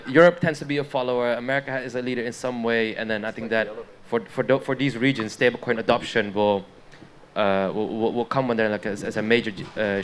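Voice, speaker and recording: A man's voice: mid-range voice, fairly bright tone. A man from the Netherlands speaking on a conference panel, hesitant, with many restarts and fillers.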